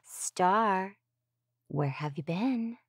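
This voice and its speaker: A woman's voice: medium pitch, lively intonation, very slow, breathy edge, dry recording, clean studio sound, exaggerated voice. A woman playing a commanding, scheming villainess who speaks with theatrical condescension to her minions.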